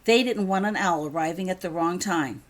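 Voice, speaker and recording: A woman's voice: fairly low pitch, moderate pace, close to an everyday voice. A woman voicing a polite, curious young schoolgirl in a story reading. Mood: frustrated.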